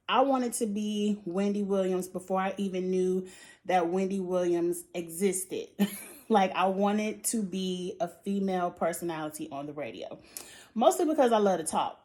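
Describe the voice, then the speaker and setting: A woman with a noticeably high voice chats freely and bluntly about a reality show, sighing and laughing as she goes. Her delivery is animated and conversational, like a talkative vlogger speaking straight to her viewers. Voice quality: high-pitched voice. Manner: animated, outspoken, frequent laughs and sighs. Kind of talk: casual opinionated chat.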